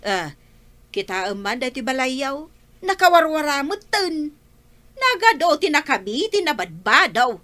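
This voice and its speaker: A woman's voice: sits high, sing-song, unhurried, pushed and bright, exaggerated voice. An actress playing a scolding, emphatic village mother in an Ilocano radio comedy drama. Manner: nagging, emphatic. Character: scolding village mother nagging her phone-absorbed child.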